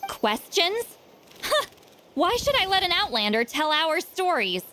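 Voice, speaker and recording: Lively, haughty young-sounding shaman woman. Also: very high voice, a little slow, slight background noise, exaggerated voice. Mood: haughty, curt. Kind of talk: video game dialogue.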